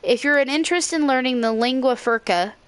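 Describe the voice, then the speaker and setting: A woman voicing an eager old researcher with creaky bones. She reads the game's dialogue aloud in her own slightly scratchy voice. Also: high-pitched, brisk, no echo, voice pushed up, close to an everyday voice. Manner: reading on-screen dialogue aloud.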